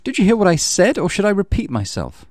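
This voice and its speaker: Man putting on a high-pitched stern, scolding mother. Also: huge pitch swings, fast talker, no echo, studio quality. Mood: scolding, worried. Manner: sharp, reproachful, threatening.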